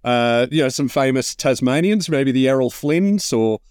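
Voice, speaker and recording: A man's voice: mid-range voice, expressive, normal speed, darkish tone, clear, resonant tone, dry recording, clean studio sound. A middle-aged Australian man chatting casually and wryly about sport as a co-host, with a joking manner. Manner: wry, jokey.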